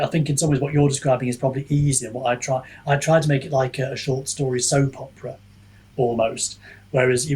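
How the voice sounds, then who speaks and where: A man's voice: medium pitch, darkish tone, husky, rough recording. A man speaking as a podcast guest in casual discussion, reflective and hesitant as he thinks aloud. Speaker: podcast guest, author and book reviewer.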